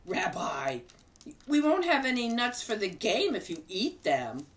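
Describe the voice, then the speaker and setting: A woman reading a storybook aloud, voicing a kindly, teasing old grandmother in a high, sing-song voice. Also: huge pitch swings, unhurried, far from the mic. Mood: fond.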